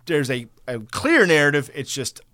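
A man's voice: on the high side, very animated, normal speed, bright, forceful tone, no room sound, clean studio sound. A man co-hosting a film podcast, talking casually with wry, profane banter.

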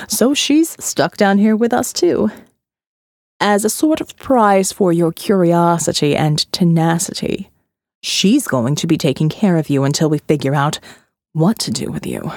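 A woman reading an audio novel voices a condescending male captor who talks slowly and patronizingly. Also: on the low side, big pitch swings, a little mellow, dry recording, clean studio sound, voice pushed down. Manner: slow, drawling, patronizing. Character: smooth condescending male captor, middle-aged.

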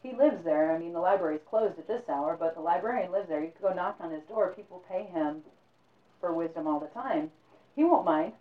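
A woman's voice: fairly low pitch, brisk, distant mic, close to an everyday voice. A woman voicing a helpful male innkeeper who gives offhand, easygoing directions. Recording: tinny phone-like sound, roomy echo.

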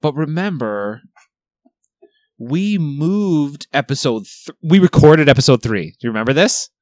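A man's voice: fairly high pitch, animated, measured pace, dry recording. A young man co-hosting a podcast, chatting casually, rambling, laughing and swearing freely. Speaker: podcast co-host. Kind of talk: casual chat.

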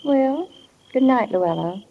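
High, folksy housewife. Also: female voice, expressive, slow pace, exaggerated voice. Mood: soothing. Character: folksy, forgiving small-town housewife. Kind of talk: 1940s radio drama acting. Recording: dull 1940 radio recording.

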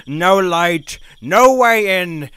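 Man playing a pompous, menacing royal bodyguard, bright-voiced. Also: high voice, animated, very slow, smooth, dry recording, exaggerated voice. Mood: grandly sinister. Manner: pompous, formal, gleefully threatening. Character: menacing, boastful male war-criminal bodyguard, coldly loyal to his king.

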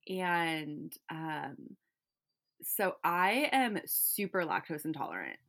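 A young woman from California with a slightly low voice, chatting easily. She talks in loose runs full of fillers and little laughs. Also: sing-song, slow pace, fairly bright tone. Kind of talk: casual chat.

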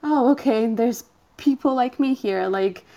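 A woman's voice: a little high, a little slow, dark tone. A woman in her twenties from Portugal, a native Portuguese speaker, talking as a podcast guest in a hesitant way, with many "um" fillers and easy laughter. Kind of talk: casual interview chat.

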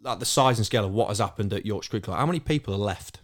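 Man, fairly low voice, casual explainer, matter-of-fact, podcast panel chat. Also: expressive, very fast.

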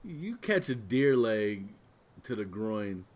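A man's voice: on the low side, very animated, slow pace, a crowd in the background. A man chatting casually as a podcast co-host, telling jokey, rambling stories. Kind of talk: casual chat.

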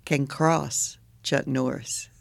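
A man's voice: mid-range voice, steady tone, takes it slowly, creaky, background noise. A sixty-year-old man hosting a podcast in casual chat, laughing often and joking along with his co-host. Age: 60s. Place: poetry museum.

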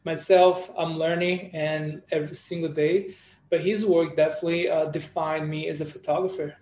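A man from Brazil chats as a podcast guest with a relaxed, storytelling style, leaning on "you know" fillers. His voice sits fairly high for a man. The room around him rings with echo. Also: normal speed. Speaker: guest photographer. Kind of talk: casual interview chat.

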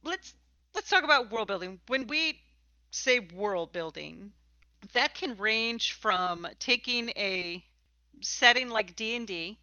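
A woman's voice: fairly high pitch, lively intonation, takes it slowly, pushed and bright, dry recording. A woman hosting a podcast, chatting and interviewing in an informal, teasing, encouraging way. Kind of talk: casual conversational interview. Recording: slightly dull, lo-fi podcast audio.

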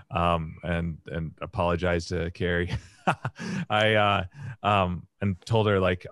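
Hoarse, deep-voiced man rambling through a story. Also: a bit bright, dry recording. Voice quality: raspy. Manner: rambling storyteller with many fillers and restarts. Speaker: podcast host. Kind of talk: casual chat.

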